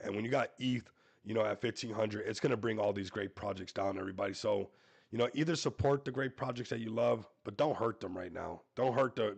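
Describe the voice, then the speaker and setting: A man hosting a casual crypto livestream, hoarse and losing his voice, hyping coins and calling out to viewers. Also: lowish voice. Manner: hype-driven, repeats "everybody", talks to viewers. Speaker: crypto show host.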